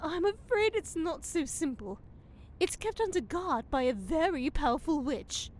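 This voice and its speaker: A woman's voice: sits high, lively intonation, measured pace, a little mellow. A woman playing a prim, knowing forest fairy, theatrical and disapproving, in a fantasy comedy audio drama.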